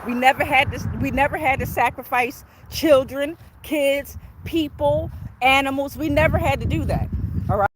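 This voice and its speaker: A woman's voice: high voice, normal speed. A woman hosting a casual livestream on a phone while out walking, informal, repeating her phrases and checking in with her followers. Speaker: livestream host and spiritual tarot reader. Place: outdoors on a walk near traffic. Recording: outdoor street and traffic noise.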